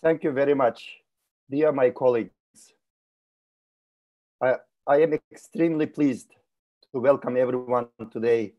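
A man's voice: mid-range voice, fairly even intonation, a little slow, long pauses, dull audio. A middle-aged man from Kyrgyzstan, giving a webinar talk on a video call in a formal, courteous way and often repeating words as he searches for them. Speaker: guest speaker. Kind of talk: webinar presentation. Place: indoor room.

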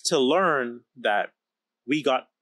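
A man's voice: mid-range voice, lively intonation, slow, a bit bright, no room sound. Emphatic man from the Bahamas, dry close sound. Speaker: interview guest, company founder and engineer. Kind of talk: candid conversational storytelling. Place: large indoor electronics production floor, seated. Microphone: close podcast microphone on boom arm.

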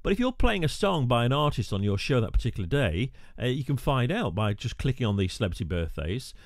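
Radio presenter, male, mid-pitched, casual tutorial talk, dry close mic. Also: sing-song, fast talker, no echo. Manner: chatty, wry asides, frequent "uh" fillers. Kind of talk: screen-recorded tutorial narration.

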